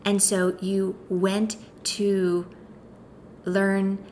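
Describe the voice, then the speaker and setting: An American woman interviewing a guest, her voice sitting at a middle pitch. She asks eager questions and reacts with open admiration. A light background noise runs through the recording. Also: completely flat delivery, drawn-out words, a little mellow. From United States. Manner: eager, openly impressed reactions.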